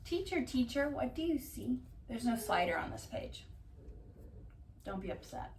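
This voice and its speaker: A woman doing a casual ASMR-style storytime, reading aloud and drifting into dry, deadpan asides. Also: fairly high pitch, normal speed, creaky. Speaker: cat vlogger reading bedtime picture books aloud. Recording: distant mic, roomy background noise.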